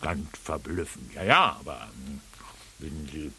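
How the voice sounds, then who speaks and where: An actor playing a sly cannibal monster in a radio drama, questioning victims with mock courtesy and a husky, theatrical, insinuating delivery. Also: male voice, rumbling low, big pitch swings, measured pace, pushed and bright, a little hiss or hum, exaggerated voice. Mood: smug. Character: sly cannibal monster who politely interrogates his victims.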